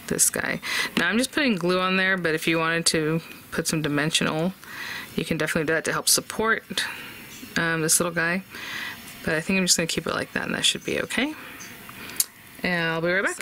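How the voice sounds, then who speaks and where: A woman's voice: on the low side, bright, forceful tone, slight background noise. A woman hosting a casual craft tutorial, chatty and upbeat, thinking aloud as she works at an indoor craft desk. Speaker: craft channel host. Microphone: overhead camera on desk, voice off-camera.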